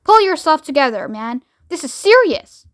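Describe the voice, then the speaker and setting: A woman's voice: very high-pitched, fairly even intonation, normal speed, bright, forceful tone, no echo, clean studio sound, voice pushed up. A woman voicing an irascible, panicky male ground controller in a children's audiobook, barking and bossy. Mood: angry.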